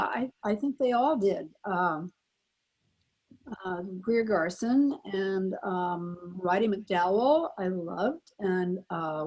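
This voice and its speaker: Older female panel guest, husky mid-range voice, casual reminiscence over a video call. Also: mid-range voice, low-quality recording. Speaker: panel guest, former radio actress.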